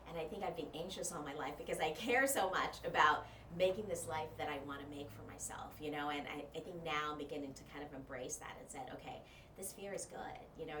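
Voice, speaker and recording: A woman from Thailand, a journalist and media trainer, chatting casually as a guest in an interview and talking with lots of fillers. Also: deep voice. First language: Thai. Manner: animated, chatty, many fillers. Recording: roomy, distant mic, noisy.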